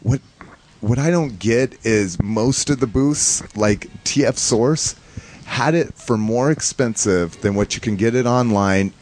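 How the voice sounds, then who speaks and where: A man from the United States chatting casually as a podcast host, in a rambling, halting, informal way. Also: mid-range voice, darkish tone. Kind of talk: casual chat, recounting a fan convention.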